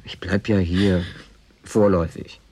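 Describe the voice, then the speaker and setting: Husky, laconic, skeptical man. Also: sits low, steady tone, unhurried, a little mellow, dry recording, exaggerated voice. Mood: guarded, skeptical. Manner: terse, dry, blunt. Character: reserved, laconic man, skeptical reluctant guest. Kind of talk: German radio drama acting.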